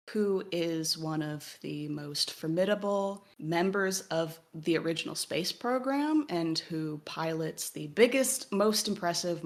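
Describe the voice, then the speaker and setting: Lower-pitched woman explaining on a podcast. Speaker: podcast co-host. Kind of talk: conversational explanatory summary.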